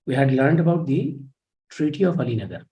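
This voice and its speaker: A man with an Indian English accent giving a live online history lecture. He speaks quietly and in a measured way, and he reads out comments from his students. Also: very animated, rough recording. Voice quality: low, soft voice. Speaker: history teacher.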